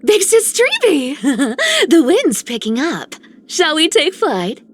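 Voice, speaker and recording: A woman's voice: high-pitched, very animated, slow pace, full character voice. A woman playing a mischievous, cocky young rogue in a video game, breezy and teasing. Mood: playful teasing.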